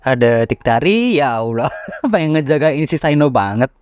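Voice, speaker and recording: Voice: mid-range voice, animated, moderate pace, a little mellow, no echo. An Indonesian gamer giving casual, slangy commentary, chuckling and talking to themselves while playing. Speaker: gaming streamer. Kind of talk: casual gameplay commentary, reacting as they play. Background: game music.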